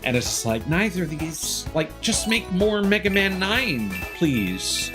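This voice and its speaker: A man streaming games, chatting casually and giving opinions in halting phrases with emphatic bursts. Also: high-pitched, sing-song. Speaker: game streamer. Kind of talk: casual opinionated rambling on a livestream. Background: music behind the voice.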